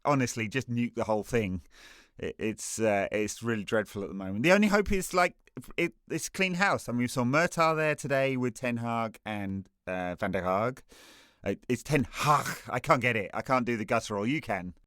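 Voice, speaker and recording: A man's voice: mid-range voice, lively intonation, moderate pace, a bit bright, dry recording, clean studio sound. A man co-hosting a podcast, chatting casually about football and rambling with many fillers and restarts. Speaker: podcast co-host.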